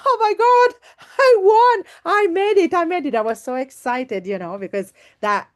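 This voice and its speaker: A woman's voice: very high-pitched, expressive, normal speed. A woman teacher giving an informal online workshop, speaking expressively and effusively and repeating words for emphasis. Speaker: teacher and trainer presenting.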